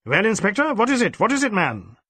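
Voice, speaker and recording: An irascible Indian police chief, acted out by a male audiobook reader, cold and overbearing. His voice rides high and swings widely as he barks and repeats himself. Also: wildly swooping pitch, brisk, sharp tone, slightly rough, no room sound, exaggerated voice. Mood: irritated, impatient. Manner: snapping, barking, repeats himself impatiently. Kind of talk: audiobook character acting.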